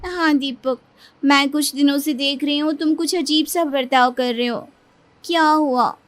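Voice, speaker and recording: A woman's voice: high voice, fairly even intonation, unhurried, pitched above the performer's own voice. A woman voicing an affectionate, pouty young newlywed wife in a cartoon moral story, coaxing and plaintive. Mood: coaxing, worried.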